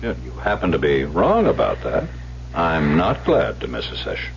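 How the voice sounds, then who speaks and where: A deep-voiced, composed psychiatrist. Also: male voice, wildly swooping pitch, creaky, heavily acted. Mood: probing, patient. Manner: measured, formal, probing. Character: composed, probing male psychiatrist, patient and formal. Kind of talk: old-time radio drama acting. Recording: muffled, hissy old radio broadcast recording.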